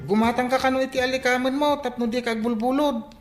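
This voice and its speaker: An actress playing a talkative tattletale child with an Ilocano accent in a radio comedy drama, hesitant and questioning. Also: female voice, high voice, steady tone, quick pace, roomy sound, faint music behind, natural voice. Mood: hesitant, evasive. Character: talkative tattletale young child speaking to mother.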